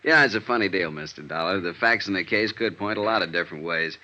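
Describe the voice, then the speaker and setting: An American actor playing a gruff, matter-of-fact police detective sergeant in a vintage radio drama, reporting in terse deadpan. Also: male voice, low voice, fast. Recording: muffled vintage radio recording.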